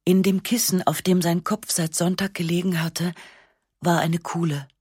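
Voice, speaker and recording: A woman narrating a literary text in the first person, with a measured, restrained and sober delivery on a close studio microphone. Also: on the low side, level tone, darkish tone, slightly rough, dry recording. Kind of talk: literary reading of a memoir-like novel.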